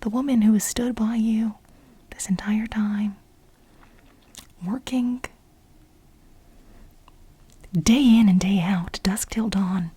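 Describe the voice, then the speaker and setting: Woman acting a breathy, whispering, exasperated assistant. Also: mid-range voice, steady tone, mellow. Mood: possessive, indignant. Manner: insistent, repetitive, halting for emphasis. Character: obsessive, exasperated female administrative assistant pleading with her boss. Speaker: ASMR role-play performer. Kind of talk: soft-spoken ASMR role play.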